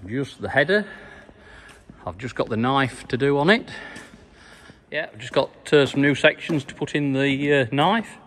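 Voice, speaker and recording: A man's voice: medium pitch, expressive, fairly bright tone, big-room sound, slight background noise. Plain-spoken man filming a farm update. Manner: plain, unhurried, many "uh"s and "so, yeah". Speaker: farmer giving a video diary. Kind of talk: casual farm vlog. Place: farm sheds and yard, indoors and outdoors. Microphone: phone at arm's length.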